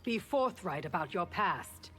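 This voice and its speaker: A woman's voice: fairly low pitch, expressive, unhurried, fairly bright tone. An actress playing a proud female royal guard in a video game, formal, clipped and cool.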